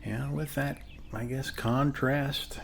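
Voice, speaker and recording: A man talks in a friendly, soft ASMR style, as if to a neighbor sitting across from him. His pace is very slow, with plenty of pauses, and his mid-pitched voice often drops into a dry fry. A faint layer of noise sits behind him. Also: fairly even intonation. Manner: soft-spoken, friendly, unhurried, pausing often. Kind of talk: ASMR roleplay, one-sided casual chat over coffee.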